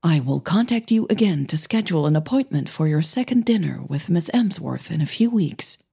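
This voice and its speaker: A woman with a soft, breathy voice, a podcast host who narrates her own audio fiction, over music. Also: deep voice, animated, normal speed, no echo, studio quality. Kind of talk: scripted story narration with casual host outro. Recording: music bed under the voice.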